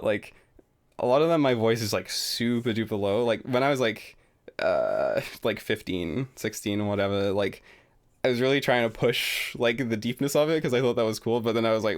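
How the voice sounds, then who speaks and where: Young man, streamer, casual rambling talk, lots of fillers, mid-pitched voice. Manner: rambling, filler-heavy, self-deprecating chat. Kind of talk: live reaction commentary.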